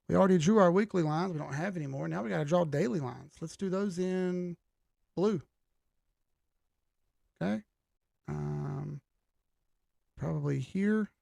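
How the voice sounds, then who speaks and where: A man hosting a casual livestream lesson on reading price charts, chatty and often checking in with "okay?", his voice going hoarse from a cold. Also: sits high, darkish tone, no echo, studio quality.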